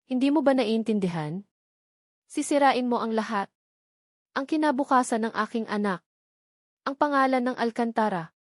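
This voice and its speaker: A woman's voice: on the high side, normal speed, long pauses, no room sound, clean studio sound, close to an everyday voice. A woman narrator voicing a cold, haughty, threatening older mother from a wealthy family, with an icy, commanding manner in a dramatic story. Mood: angry, threatening.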